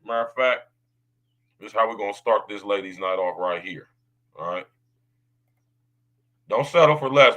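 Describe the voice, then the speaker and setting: A man with a deep baritone voice hosts his podcast in a loud, drawling monologue. He leans on slang and keeps tagging his lines with questions. His tone comes through bright and forceful. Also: low-quality recording. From United States. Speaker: podcast host.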